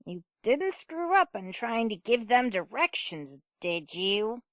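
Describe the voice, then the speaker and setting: A woman's voice: fairly high pitch, big pitch swings, slow pace, no echo, studio quality. A woman playing a brash, teasing mother who talks bluntly and bossily in a fan audio drama. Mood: bossy. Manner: blunt, slangy, bossy.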